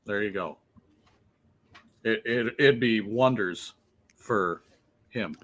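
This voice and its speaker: A man's voice: fairly low pitch, slow pace, a bit bright. A man hosting a livestream from his laptop, chatting casually, laughing often and swearing freely. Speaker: livestream host. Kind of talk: casual chat. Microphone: laptop on a remote call.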